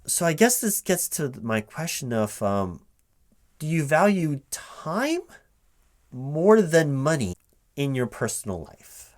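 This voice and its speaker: A man speaking as a recruiting manager in a one-sided ASMR interview roleplay, questioning in a halting, puzzled way. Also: a little high, very animated. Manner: halting, puzzled questioning, checking back with "right?". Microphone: close microphone with typing sounds behind. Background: computer keyboard typing.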